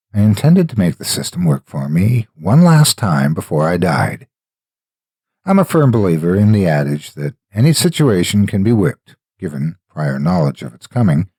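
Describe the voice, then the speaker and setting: A man narrating a horror story in a deliberate, dramatic manner with measured pauses. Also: deep voice, a little mellow, husky, no echo, studio quality. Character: a wealthy, cold, calculating gambler narrating his own experiment.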